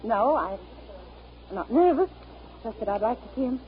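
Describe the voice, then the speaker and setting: A woman's voice: fairly high pitch, animated, normal speed, close to an everyday voice. A woman playing a tender, anxious, earnest young wife in 1930s radio acting. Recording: dull, noisy 1939 radio recording.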